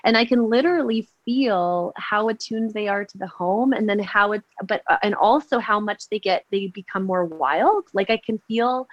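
A woman's voice: medium pitch, moderate pace, hardly any pauses, no echo, dull audio. A woman who works as a somatic therapist, talking casually in a group conversation and often restarting her sentences. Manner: many fillers and restarts, warm agreeing murmurs.

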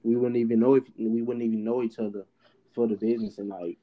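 A man of twenty co-hosting a podcast, playful and jokey, often jumping in with quick reactions. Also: mid-range voice, little pitch movement, phone-quality sound. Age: 20s. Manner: playful, jokey, chimes in a lot. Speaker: podcast co-host. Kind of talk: casual chat.

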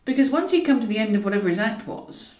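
Female storyteller, wry and animated audiobook read, echoey room sound. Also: on the high side, fast talker. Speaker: author reading own novel. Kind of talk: scripted audiobook narration of comic fiction. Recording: roomy echo, distant from mic.

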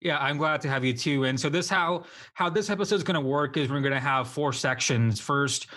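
A man's voice: fairly high pitch, fairly quick, sharp tone. A man hosting an interview podcast, upbeat and encouraging, asking short questions. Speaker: podcast host.

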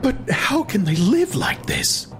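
A man's voice: sits high, huge pitch swings, unhurried, over music, pitched above the performer's own voice, full character voice. A man voicing a squeamish, indignant young woman who is easily horrified, in an audiobook with resentful, emphatic delivery. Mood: indignant disgust.

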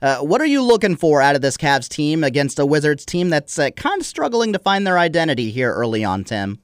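A man's voice: a little high, very animated, a little fast, smooth, dry recording, clean studio sound. A man hosting a sports radio interview in a casual, chatty, conversational way. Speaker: sports show host.

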